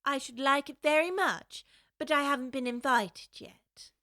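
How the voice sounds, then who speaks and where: A woman's voice: high-pitched, measured pace, bright, forceful tone, no echo, studio quality. An actress playing a curious, polite young girl who is well spoken and wonders aloud, for a full-cast audiobook.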